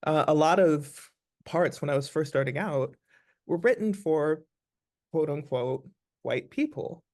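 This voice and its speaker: A fairly high-voiced American man answers questions as an actor in a conversational interview. He is reflective, and he restates his points with emphasis. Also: expressive, measured pace, a little mellow.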